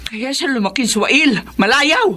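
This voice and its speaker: A woman's voice: high voice, animated, normal speed, sharp tone, full character voice. A woman playing a stern, aggrieved middle-aged mother and wife in a radio drama, emphatic and confrontational. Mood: angry, accusing. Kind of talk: Tagalog radio drama acting.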